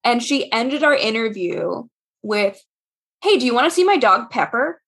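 A woman's voice: fairly high pitch, sing-song, normal speed, a bit bright, husky. A woman hosting a podcast, chatting casually about books in a bubbly, enthusiastic manner. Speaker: podcast host. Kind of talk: casual book chat on a podcast.

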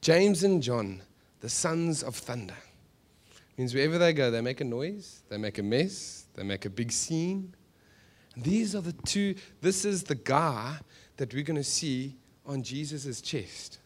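A South African man preaching conversationally to a congregation, animated, with joking asides and rhetorical questions. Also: a little high. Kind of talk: sermon to a live congregation, conversational storytelling.

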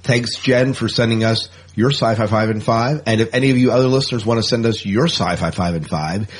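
A man's voice: on the low side, brisk, husky. A man hosting a podcast in a casual, informal, easygoing chat style. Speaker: podcast host.

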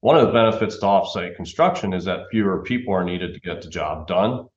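Low-voiced man on a dull, roomy recording. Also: lively intonation, fairly quick. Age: middle-aged. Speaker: interview guest, timber framing company manager. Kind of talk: explanatory interview answers. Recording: dull, roomy remote call sound.

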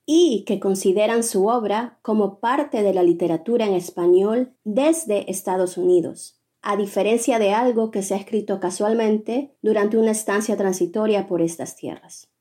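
A woman co-hosting a podcast, explaining the series in a clear scripted intro. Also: medium pitch, moderate pace, few pauses, dark tone. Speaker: podcast co-host.

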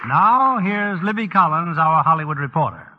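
Higher-voiced American radio announcer, muffled broadcast. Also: male voice, expressive, moderate pace. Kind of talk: 1940s scripted radio announcing and narration.

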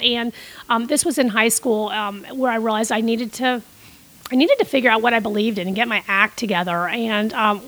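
Middle-aged woman from costa rica rambling through a story, lots of um and restarts, slightly high voice. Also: fairly bright tone, poor audio. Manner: rambling, many restarts and fillers. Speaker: guest. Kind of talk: casual interview storytelling.

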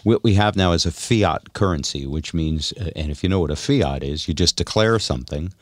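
A man's voice: sits low, fairly quick, no echo, clean studio sound. A middle-aged man hosting a radio show, talking casually and giving opinions in an explanatory, musing way.

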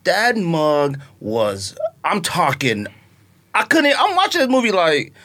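A man co-hosting a podcast in casual chat, emphatic and animated as he acts out his own reactions. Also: sits high, takes it slowly, slight background noise. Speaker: podcast co-host.

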